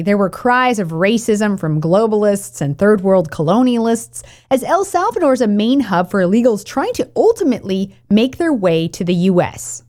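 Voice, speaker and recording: Woman cohost reading the news bit, really lively up and down delivery, hits words hard. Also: mid-range voice. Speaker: show co-host. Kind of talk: scripted news commentary.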